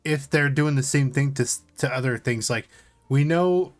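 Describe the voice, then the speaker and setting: A man's voice: medium pitch, moderate pace, over loud music. A man co-hosting a podcast, reacting casually and musing aloud in informal, tentative phrases. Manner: informal, musing, hedges with "like" and "I wonder". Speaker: podcast co-host.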